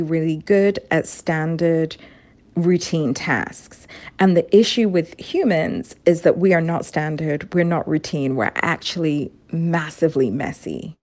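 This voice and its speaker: A woman with a low voice gives expert commentary in an interview. She speaks emphatically, repeating words to stress her point. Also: unhurried, darkish tone.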